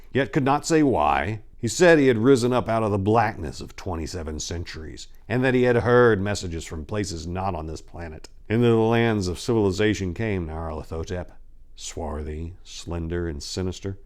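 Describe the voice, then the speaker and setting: Haunted horror narrator, acted by a man, low and theatrical. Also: a little mellow. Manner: theatrical, ominous, with drawn-out emphasis. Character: haunted, dread-filled male narrator of a cosmic horror tale. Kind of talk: dramatic horror story reading.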